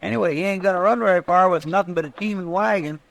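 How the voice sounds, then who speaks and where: Old radio western sidekick: garrulous, deferential deputy, creaky highish voice. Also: male voice, fast, a bit bright, a little hiss or hum. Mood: folksy, watchful. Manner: rambling, deferential, folksy. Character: folksy, earnest, garrulous deputy of a western marshal. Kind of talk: 1950s western radio drama acting.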